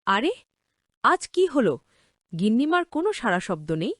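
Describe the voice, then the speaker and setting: A meek young cartoon maid, played by a woman, high-voiced with wide swings in pitch. She sounds earnest, wide-eyed and deferential, in a dry studio sound. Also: very animated, moderate pace, no room sound. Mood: puzzled, worried. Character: humble, hardworking young orphaned housemaid, earnest. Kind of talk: cartoon story voice acting.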